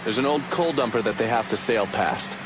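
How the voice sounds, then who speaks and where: An actor playing a wry, cool-headed war buddy who plans covert operations, talking casually in a video game cutscene. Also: male voice, lowish voice, brisk, close to an everyday voice. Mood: matter-of-fact. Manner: casual, teasing, matter-of-fact. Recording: loud vehicle and outdoor noise.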